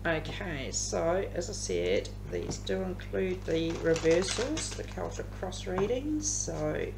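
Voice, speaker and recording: A woman in her fifties with an Australian accent and a slightly croaky voice, chatting her way through a tarot card reading at home, rambling with plenty of ums. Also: fairly low pitch, sing-song, takes it slowly. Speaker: tarot reader. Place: living room at home. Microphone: camera across the table. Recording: echoey room with background hum.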